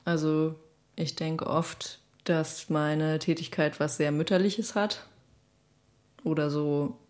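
A woman's voice: sits low, fairly even intonation, slow pace, darkish tone. A woman answering reflectively in an interview, hesitant and thoughtful.

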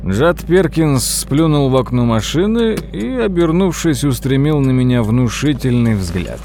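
An actor playing a wry nephew who narrates a family tale in a measured, literary way for an audio drama. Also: male voice, medium pitch, sing-song, warm tone, a little hiss or hum. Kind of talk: scripted first-person audio drama narration.

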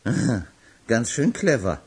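A man's voice: medium pitch, big pitch swings, a little slow, husky, heavily acted. An actor in a radio crime drama playing a cocky womanizer with a wry, bantering, boastful way of talking. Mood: cocky, amused. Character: cocky, joking womanizer, boastful buddy in a wager.

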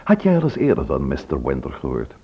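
A low-voiced man questions people courteously and doggedly in an old radio drama. His delivery is very animated, with small hesitations, and the recording is dull and lo-fi. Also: brisk, husky, heavily acted. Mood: probing, courteous. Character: man probing his brother's murder, courteous and persistent questioner.

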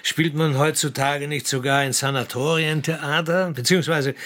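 A man's voice: on the high side, fairly even intonation, moderate pace, fairly bright tone, lightly acted. An actor playing a polite, unflappable young male assistant in a radio play, dry-witted and deferential. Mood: gently teasing.

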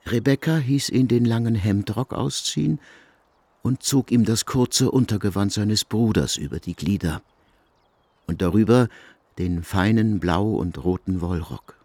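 A low-voiced man narrates literary prose with a husky grain. His storytelling is measured and deliberate, and the sound is dry and close. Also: darkish tone, no echo.

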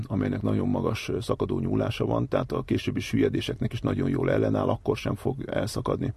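A man with a low voice full of gravelly fry explains things as a manager being interviewed. His tone is dark and a little husky, and his delivery is methodical and technical.

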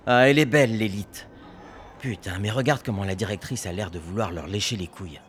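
A man's voice: on the low side, a little fast, a little hiss or hum, natural voice. A man playing a weary, sardonic young factory worker in an audio drama, with a mocking, crude way of talking. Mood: contemptuous, mocking.

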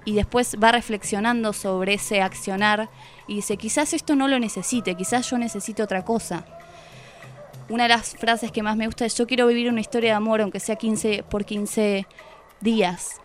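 A woman's voice: on the high side, fairly even intonation, moderate pace. A woman with an Argentine accent giving an unscripted, reflective literary talk as a guest, voicing quoted thoughts as she goes. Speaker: guest reviewing a book. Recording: music playing behind the voice.

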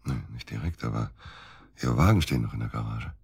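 An actor playing a disgruntled middle-aged provincial surgeon, grumbling and curt, in a naturalistic radio drama. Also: male voice, a bass voice, a little fast, vocal fry, a little mellow, breathy edge, no room sound, studio quality, full character voice. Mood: guarded, uneasy. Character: disgruntled middle-aged provincial surgeon, worried about his missing wife.

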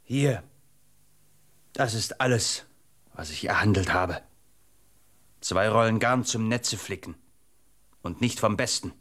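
Gruff, stubborn fisherman. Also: lowish voice, slow pace, pauses often, fairly bright tone. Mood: grumbling. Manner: gruff, brusque, dismissive. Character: stubborn, gruff young fisherman husband, proud and impatient. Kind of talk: German radio play acting.

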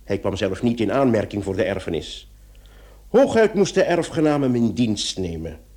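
An actor playing a wry, brisk police commissioner questioning suspects in a radio play, with an authoritative manner. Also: male voice, fairly low pitch, sing-song, dark tone. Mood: explaining, brisk. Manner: authoritative, switching from casual to interrogating. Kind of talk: Dutch-language radio drama acting.

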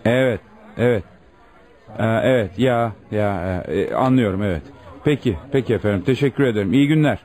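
A cautious male registry manager from a radio play, acted by a man. His voice is fairly low and swings expressively. He is formal and deliberate, hemming often, over a faint background of people. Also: animated, a little mellow, a faint crowd, close to an everyday voice. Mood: businesslike. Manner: hesitant hems, formal, deliberate. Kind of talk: radio drama acting.

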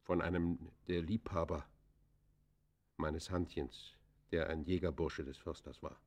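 An actor playing an embittered outcast poacher in a German radio play, confessing in formal, declamatory phrases with dramatic swells and hushed falls. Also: male voice, deep voice, steady tone, a little slow. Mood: subdued, rueful. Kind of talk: German radio play acting, literary monologue.

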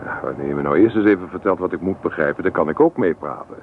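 An actor playing a restless, stubborn young student husband from a farming family in a radio play, sounding insistent and self-justifying. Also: male voice, low voice, quick pace, close to an everyday voice. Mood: impatient, dismissive. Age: young adult. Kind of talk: Dutch radio play acting. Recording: noisy, thin, phone-like old radio recording.

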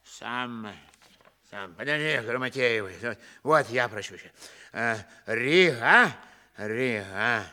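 Sharp-voiced, slow-witted clown. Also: male voice, mid-range voice, lively intonation, heavily acted. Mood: puzzled. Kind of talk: theatrical comic radio play acting.

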